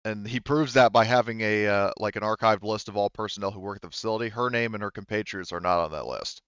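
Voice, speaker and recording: A man narrating a tabletop roleplaying game as game master, in a halting, conversational way, rephrasing as he goes. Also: lowish voice, fairly bright tone, clean tone, no room sound, muffled recording.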